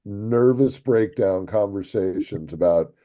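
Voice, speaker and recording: A man's voice: lowish voice, unhurried, warm tone. A man co-hosting a podcast as a voice actor, talking earnestly and encouragingly in casual chat.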